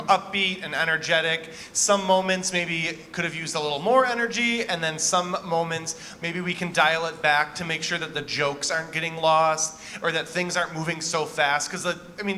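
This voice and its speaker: A man with a fairly high, bright, forward voice gives informal critique as a theatre judge. He is encouraging and searches for his words as he goes. There is faint noise behind him. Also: normal speed, hardly any pauses. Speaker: theatre festival judge giving feedback. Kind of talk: informal spoken critique to young performers.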